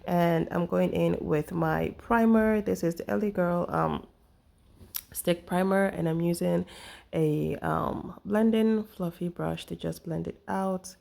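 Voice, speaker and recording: A young woman recovering from a flu, her voice husky, chatting casually through a makeup tutorial. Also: on the low side, fairly even intonation, slow pace, mellow. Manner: chatty, rambling with fillers. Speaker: beauty vlogger.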